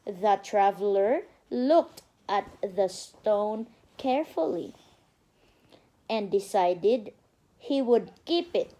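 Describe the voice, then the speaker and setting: A woman's voice: mid-range voice, expressive, takes it slowly, mellow, rough recording. A woman, a mother reading a bedtime story aloud and talking a small child through it with instructive prompts. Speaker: mother reading to her toddler. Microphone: phone camera.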